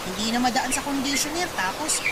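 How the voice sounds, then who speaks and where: A woman's voice: high voice, lively intonation, fairly quick, far from the mic, very noisy. An actress playing a worn-out, envious young mother in a Filipino radio drama, complaining and self-deprecating with a Manila accent. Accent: Filipino, Manila area. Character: worn-out, envious, impoverished 25-year-old mother. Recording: loud music bed behind voice.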